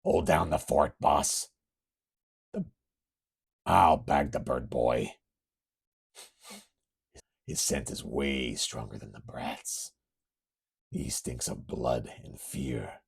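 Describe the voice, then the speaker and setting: A man's voice: extremely low pitch, very animated, slow, creaky, long pauses, slightly rough, pitched below the performer's own voice, full character voice. A man voicing a menacing, beastly male hunter who tracks by scent in a comic book reading.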